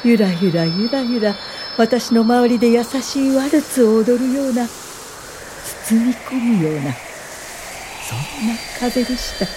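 A woman's voice: on the high side, expressive, background noise. An actress in a radio drama playing an earnest, principled woman educator and social worker, speaking politely and thoughtfully. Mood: reflective. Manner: polite, thoughtful, measured delivery. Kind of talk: radio drama dialogue and reflective first-person narration.

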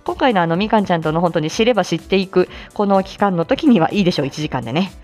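A woman's voice: lowish voice, animated, fast talker, darkish tone. A woman hosting a casual live stream, chatting with listeners in a polite but informal way and often chuckling as she talks. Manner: chatty, polite announcements mixed with casual asides. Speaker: live audio stream host and speech-language therapist. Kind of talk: casual morning live chat, answering listener comments. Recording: faint music behind the voice. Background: faint background music.

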